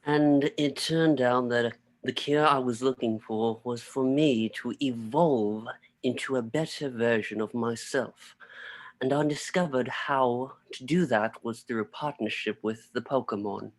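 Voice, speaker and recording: A man's voice: mid-range voice, natural voice. A man reading the part of a wealthy, solemn, visionary company founder in an amateur table read. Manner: serious, measured.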